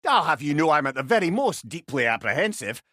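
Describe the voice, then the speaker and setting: A man's voice: fairly high pitch, fairly quick, no room sound, clean studio sound. A man playing a witty old talking severed head, a wise counsellor with a Scottish accent and an eloquent, wry manner in a video game. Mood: wry, teasing. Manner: wry, eloquent, animated storytelling. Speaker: companion character in an action game. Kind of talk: video game character voice lines.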